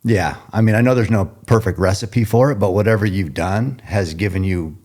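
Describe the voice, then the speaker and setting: A man's voice: on the low side, moderate pace, darkish tone. A middle-aged man hosting a podcast interview, talking in a loose, rambling way, often restarting his sentences and laughing. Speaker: podcast host. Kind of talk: casual interview chat.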